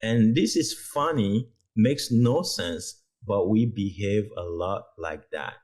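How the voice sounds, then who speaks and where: A man's voice: lowish voice, takes it slowly, darkish tone, husky. A man in his thirties from Togo whose first language is French, speaking English as a reflective guest in a casual interview and telling stories. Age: 30s. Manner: reflective storyteller, shifts pace.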